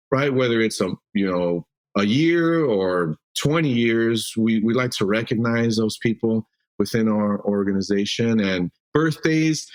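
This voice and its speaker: A man from Mexico, a roofing company CEO guest, talking loosely with frequent fillers and restarts. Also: medium pitch. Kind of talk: casual interview answers.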